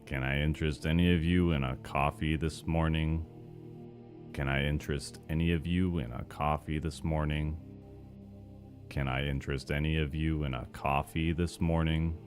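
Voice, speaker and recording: An extremely low-voiced, gloomy cafeteria worker from a cartoon, acted by a man. He speaks slowly and flatly, moody and utterly deadpan. Music plays in the recording. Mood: glum, weary. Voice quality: deep. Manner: slow, glum, flat delivery. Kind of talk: animated cartoon voice acting takes.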